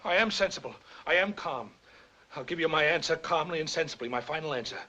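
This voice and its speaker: Bitter older brother, husky bright voice, big pitch swings, muffled old movie sound. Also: male voice, animated, fast talker. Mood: defiant. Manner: shouting in rising anger. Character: proud man, stern older brother, bitter. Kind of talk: 1940s film acting. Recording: dull old film soundtrack.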